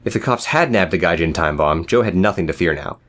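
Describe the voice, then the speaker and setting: A man's voice: low-pitched, animated, a little fast, a bit bright. A man narrating a scripted, dramatic story as an audiobook reader.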